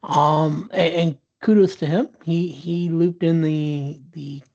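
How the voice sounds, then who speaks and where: A man with a dark, husky voice tells a candid story as an interview guest, stumbling and restarting as he goes. The webinar audio sounds dull and lo-fi. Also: a little high, fairly even intonation, takes it slowly. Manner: frequent restarts and fillers, earnest. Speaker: interview guest, sales professional. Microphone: video call.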